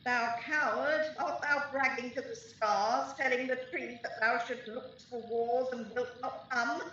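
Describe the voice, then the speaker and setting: A woman's voice: fairly high pitch, fairly even intonation, measured pace, pushed and bright, a little hiss or hum. A woman acting a mischievous, taunting fairy sprite in a Shakespearean radio play, speaking sing-song rhymed verse. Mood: mocking, taunting. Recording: roomy, echoing, distant, slightly dull.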